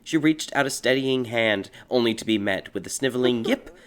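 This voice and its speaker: A man giving a theatrical, scripted narration of a dark fairy tale as an audiobook. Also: mid-range voice, normal speed. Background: music.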